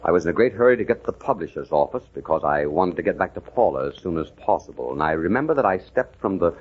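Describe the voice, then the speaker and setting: An actor playing a haunted amnesiac war veteran, narrating his past in a halting, earnest old-time radio drama style. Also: deep voice, animated, slight background noise. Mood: brooding, pained. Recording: muffled lo-fi vintage radio recording.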